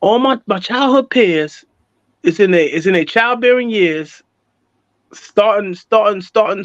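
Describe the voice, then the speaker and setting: High-voiced American man telling street stories. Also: no room sound, lo-fi sound. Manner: animated, repeats phrases for emphasis, street slang. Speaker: interview guest telling his life story. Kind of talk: casual personal storytelling.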